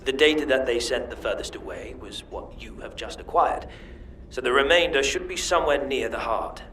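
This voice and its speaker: A scheming alien magister from a video game, played by a man, intense and precise in his phrasing. He speaks at an ordinary male pitch with a formal, theatrical delivery, and noticeable ambience sits behind the voice. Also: normal speed, a bit bright, a little hiss or hum, natural voice. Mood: calculating.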